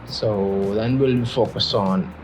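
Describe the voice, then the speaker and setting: A man's voice: fairly low pitch, slow pace, music in the background, poor audio. A man from Pakistan whose first languages are Urdu and Punjabi, earnestly teaching a casual beginners' video lesson and often repeating himself.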